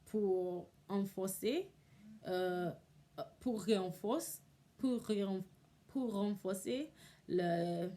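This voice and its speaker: A woman's voice: medium pitch, extremely slow, long pauses, dark tone. A young woman, a native English speaker, talking casually to camera in hesitant learner's French, often stopping to search for words.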